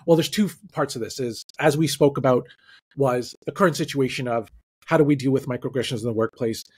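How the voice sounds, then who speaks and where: A middle-aged man hosting a webcast interview on a video call, asking questions in a measured way. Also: medium pitch, a little mellow, husky. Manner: measured, with frequent listening murmurs. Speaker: podcast host. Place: home room. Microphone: headphones on a video call.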